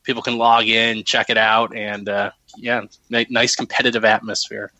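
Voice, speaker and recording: A man's voice: on the low side, little pitch movement, moderate pace, pushed and bright, no room sound, highs cut off. A man speaking casually as an interview guest and event organizer.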